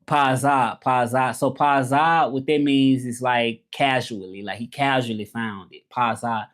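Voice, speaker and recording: Man, Southern US accent, mid-pitched voice, casual livestream host, colloquial, repetitive phrasing. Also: fairly even intonation, moderate pace, fairly bright tone. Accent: Southern American. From southern United States. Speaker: livestream host, language content creator. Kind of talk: casual livestream chat, switching between English and French.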